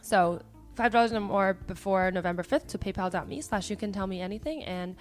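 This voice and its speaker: Raspy worn-out woman hosting a podcast, chatty and upbeat, lots of ums, middle pitch. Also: fairly quick, soft background music. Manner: chatty and upbeat, many fillers. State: hoarse, partly lost voice. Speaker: podcast host. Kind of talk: casual podcast chat.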